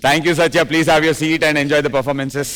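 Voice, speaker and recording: A man anchoring a dance show on a studio stage, hyping up judges and guests with lively talk in mixed English and Telugu. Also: fairly high pitch, very slow, over loud music. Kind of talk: energetic stage hosting.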